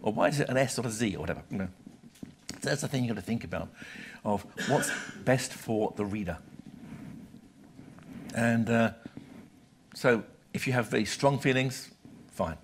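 A man in his sixties with a British accent lectures live to an audience. His pitch sits in a middle range, and he talks conversationally, stressing points in short clipped phrases. Faint noise lies behind the voice. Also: moderate pace, long pauses. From Britain. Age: 60s. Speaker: lecturer, journal publisher. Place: lecture hall, speaking from a podium. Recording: live talk with audible background noise.

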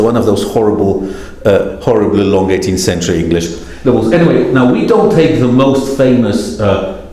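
Middle-aged male keynote speaker, wry and halting, mid-pitched, heard in a boomy lecture hall. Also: mellow. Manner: wry, self-deprecating, many filler hesitations. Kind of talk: conference lecture. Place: large lecture hall, standing at a podium. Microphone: podium mic, far camera. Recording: very echoey hall.

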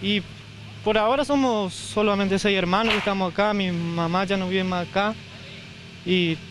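A man's voice: high voice, fairly even intonation. A young man interviewed outdoors at the site of his burned home, speaking hesitantly with many restarts into a handheld mic. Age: young adult. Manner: hesitant, halting, repeats words. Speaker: homeowner interviewed after fire. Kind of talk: on-the-spot news interview. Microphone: handheld reporter mic. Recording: field audio with outdoor noise.

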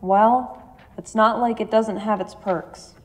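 A woman's voice: medium pitch, fairly even intonation, slow pace, close to an everyday voice. An actress playing a long-suffering, exasperated wife and mother in an audio drama.

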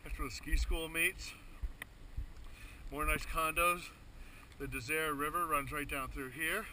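A man's voice: on the high side, fairly even intonation, unhurried, sharp tone, poor audio. A man chatting casually as he films himself skiing down a snowy mountain slope, pointing out the sights like a tour guide. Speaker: skier filming a ski run. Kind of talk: casual running commentary. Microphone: helmet or pole-mounted action camera. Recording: wind and ski noise on action camera.

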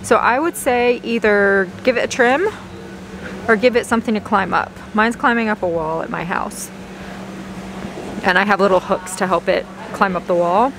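A woman's voice: mid-range voice, moderate pace. Chatty woman vlogging in a noisy store. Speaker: vlogger, host. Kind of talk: casual shopping vlog commentary. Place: large indoor garden center of a store. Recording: clear store background noise, ventilation hum.

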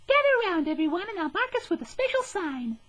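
A perky, shameless cartoon schoolgirl, acted by a man in falsetto. The pitch is extremely high and squeaky. It bounces around with lively swings. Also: fast, full character voice. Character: perky teenage schoolgirl, shamelessly light-fingered. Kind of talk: parody anime dub.

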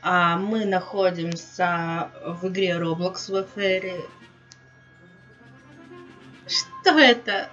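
A woman's voice: lowish voice, slow, a bit bright. A woman hosting a casual gaming let's-play, talking affectionately to her viewers, with her voice a little off. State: voice slightly off, under the weather. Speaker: gaming video host. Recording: dull lo-fi mic, music behind. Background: game music.

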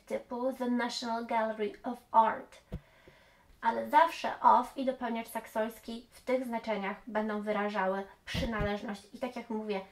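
A woman in her thirties, an English teacher, patiently explaining grammar to camera and dropping in English example phrases, recorded in a small room. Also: a little high. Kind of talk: explanatory language lesson to camera. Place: small room indoors with bookshelves.

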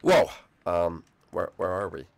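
A man's voice: low voice, slow, pitched below the performer's own voice, exaggerated voice. A man voicing a bratty young dragon-turtle prince who whines and teases his father. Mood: whiny. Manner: whiny, teasing, easily excited.

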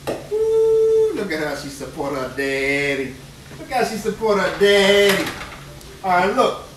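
A man's voice: high-pitched, wildly swooping pitch, a little slow. A man, a chef chatting exuberantly while he cooks live in his home kitchen, repeating phrases as he goes. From New Orleans. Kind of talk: live cooking stream chat. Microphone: phone camera moved around the kitchen. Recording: echoey kitchen, phone mic at a distance, noisy frying. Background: frying and sizzling food.

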